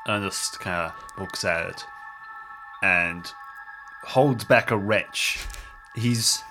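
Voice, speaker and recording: A man playing in an improvised tabletop audio drama, describing what his character does in a halting, improvised way. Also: lowish voice, over music. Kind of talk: tabletop roleplay, narrating his character's actions.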